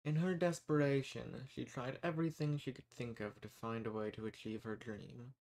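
A man voicing an arrogant, menacing archdemon in a dramatic audio roleplay, speaking in a condescending, theatrical, unhurried manner. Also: medium pitch, steady tone, creaky, a little mellow, lightly acted. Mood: coolly recounting. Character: arrogant, menacing male archdemon who strikes bargains.

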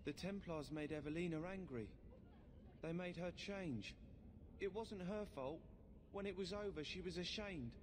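Timid, high-voiced orphan boy. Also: unhurried. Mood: sad. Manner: hesitant, fearful. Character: timid, sad young orphan boy named Cricket. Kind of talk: video game voice acting. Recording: reverberant, noisy game audio.

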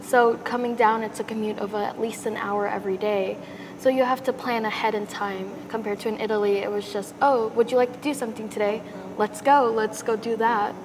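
A young woman guest answering interview questions in a lively, animated way. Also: a little high, brisk, background noise. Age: young adult. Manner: lively, talks with her hands. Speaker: student guest. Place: indoor studio set. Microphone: lapel mic.

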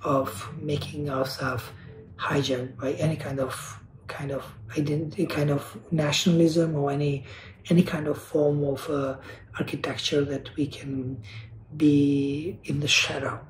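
A man's voice: on the high side, little pitch movement, measured pace, background noise. Earnest man in a roomy room. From Kosovo. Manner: earnest, searching, with frequent restarts and repeated words. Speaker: artist panelist. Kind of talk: reflective panel talk.

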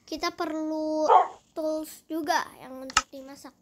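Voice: sits high, fairly even intonation, a little slow. A child co-hosting a game tour in casual commentary on a phone recording. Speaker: co-host guiding the tour. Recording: very noisy phone recording with clicks.

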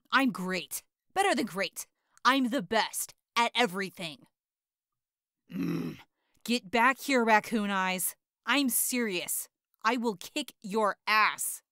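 A woman puts on a short-fused teenage boy hero for an audio roleplay, all swearing and bragging. The voice sits low and gruff, and everything is snapped out abrasively. It is recorded close and dry, with no room sound. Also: dry recording. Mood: yelling. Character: brash hot-tempered teenage boy hero.

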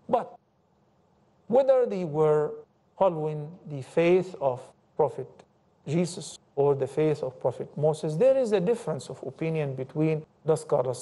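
Middle-aged guy lecturing on tv, mellow warm voice, fairly high, lively hand-waving studio talk. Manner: animated, gesturing, reciting Arabic quotations. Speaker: Islamic scholar and TV host. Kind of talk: televised religious lecture. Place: indoor TV studio set.

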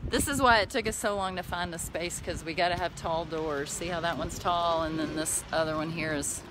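Chatty woman, low voice. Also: fairly quick, background noise. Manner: chatty, laughs easily, guiding viewers around. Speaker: tiny home builder giving a tour. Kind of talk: casual walking tour chat. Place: outdoor building lot and inside tiny houses.

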